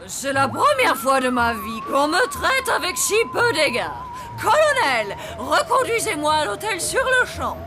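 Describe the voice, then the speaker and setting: A haughty lady of a children's cartoon. She is pompous and theatrical, with a high, very animated voice over music. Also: measured pace, few pauses, raised voice, exaggerated voice. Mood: haughty, indignant. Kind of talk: French animated series dubbing.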